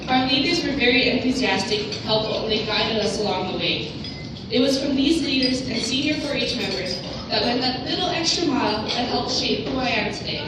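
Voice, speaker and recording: A woman's voice: a little high, very noisy. Young Dutch-born woman giving a speech. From Netherlands. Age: young adult. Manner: earnest, reading from notes. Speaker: award recipient giving a speech. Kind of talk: prepared speech. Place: conference luncheon hall. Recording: distant, echoey hall with loud ambience.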